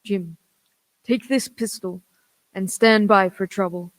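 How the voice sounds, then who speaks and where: Woman playing a slow-talking, sly pirate ringleader. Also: mid-range voice, fairly even intonation, close to an everyday voice. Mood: coolly mocking. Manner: wheedling, archaic sailor talk. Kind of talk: radio play acting.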